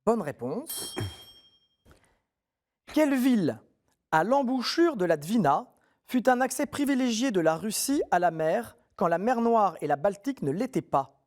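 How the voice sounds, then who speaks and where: Lively, encouraging quiz show host, man. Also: sits high, measured pace. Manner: friendly, encouraging, clear question reading. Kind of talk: TV quiz hosting, reading questions.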